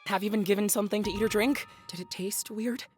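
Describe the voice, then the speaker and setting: A woman acts out a protective, determined woman in an audio drama. Her delivery is urgent and demanding, in a medium-pitched voice. Faint music plays behind her. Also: steady tone, fast, a little mellow, husky, close to an everyday voice. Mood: alarmed, demanding. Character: protective, forceful, sweary woman, fiercely determined.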